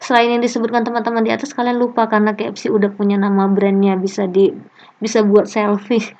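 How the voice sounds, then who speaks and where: A woman's voice: mid-range voice, flat delivery, fast, darkish tone. A woman lecturer leading an online class discussion, reading student comments aloud with a chatty, joking manner. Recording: muffled lo-fi online call audio.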